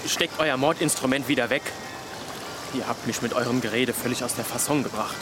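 An actor playing a vain, melodramatic young eighteenth-century poet in a radio drama, with pompous, florid, old-fashioned diction. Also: male voice, medium pitch, fairly quick, noisy background, natural voice. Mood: irritated. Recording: loud outdoor ambience, running water behind voice.

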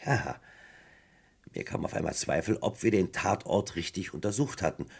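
Probing police detective, vintage radio crime drama, husky low voice, expressive, casual questioning, wry. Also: male voice, normal speed, heavily acted. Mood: reflective, reporting. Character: wry, probing male British police detective telling his case. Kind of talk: German radio crime play acting and narration. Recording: vintage radio play with faint music.

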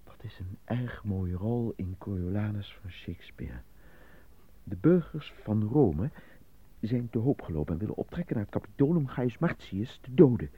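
A man playing an anxious, apolitical stage actor in a Dutch radio drama, with a theatrical manner that turns halting under nerves. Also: on the low side, animated, slightly rough. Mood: nervous, subdued. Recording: dull lo-fi radio drama recording.

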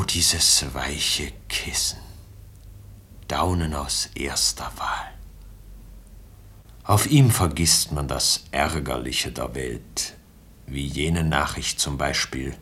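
An actor playing a complacent, drowsy bourgeois in a radio drama monologue, smug and languid, with a breathy, husky voice. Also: male voice, rumbling low, a bit bright, full character voice. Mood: detached, dismissive. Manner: smug, languid, partly whispered. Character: complacent, drowsy bourgeois sleeper savouring his comfort.

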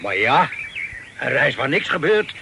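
An actor playing an old sea captain who tells his adventures slowly with dry sayings, in an old radio play with hiss. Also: medium pitch, sing-song, creaky. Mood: storytelling. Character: old sea captain telling yarns, gruff and dry-witted. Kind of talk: old Dutch radio drama acting.

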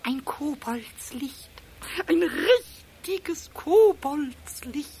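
A man voicing a cheeky little male kobold in a 1970s radio play, in a high squeaky voice that stammers and repeats words. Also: lively intonation, drawn-out words, darkish tone, a little hiss or hum, full character voice. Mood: enchanted.

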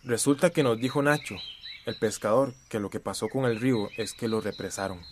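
A man's voice: on the low side, a little fast, slight background noise, close to an everyday voice. A man playing a concerned, practical friend in a radio drama, earnest and explanatory.

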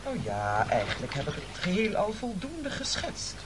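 A smug castaway chief played by a woman in a radio play, bossy under a show of courtesy. The low voice moves in big, oily swings, formal and patronizing. Noise is clearly audible on the recording. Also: wildly swooping pitch, moderate pace. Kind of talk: theatrical radio play acting.

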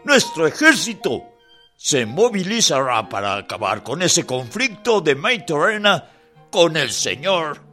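An actor playing a stern American general in a radio drama, speaking formally and emphatically. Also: male voice, on the high side, very animated, measured pace, sharp tone, soft background music, full character voice. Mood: threatening.

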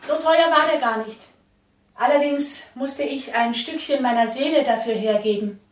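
An actress as a poised hostess, echoey room. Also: female voice, high-pitched, normal speed, natural voice. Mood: ironic, composed. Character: poised, guarded, cultivated hostess with a secret. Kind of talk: radio drama dialogue.

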